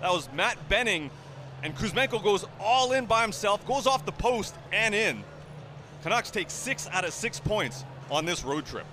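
A man's voice: sits high, lively intonation, normal speed, sharp tone. A man giving live colour commentary, breaking down a hockey play. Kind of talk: live replay analysis. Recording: live broadcast, faint background noise.

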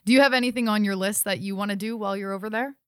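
A woman's voice: mid-range voice, steady tone, very fast, clear, resonant tone, no echo, studio quality. A young adult woman hosting a sports podcast with polished presenting and interviewing.

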